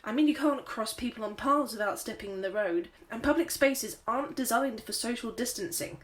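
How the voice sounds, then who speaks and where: A woman's voice: fairly high pitch, a little fast, natural voice. A woman playing a frustrated person longing for freedom. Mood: wistful, frustrated. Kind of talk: scripted diary monologue.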